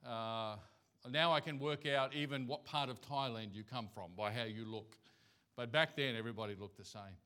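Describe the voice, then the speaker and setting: An Australian man preaching a sermon as a missionary, spinning a personal story in a loose, conversational way. His voice is bright and forward, full of little fillers and restarts as he goes. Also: mid-range voice, moderate pace, smooth.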